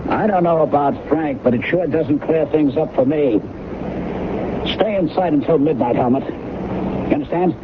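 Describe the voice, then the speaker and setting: An actor playing a brisk, suspicious, take-charge newsman in an old radio mystery, blunt and wisecracking. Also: fairly high pitch, lightly acted. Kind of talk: old radio drama acting. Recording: tinny, noisy old radio recording.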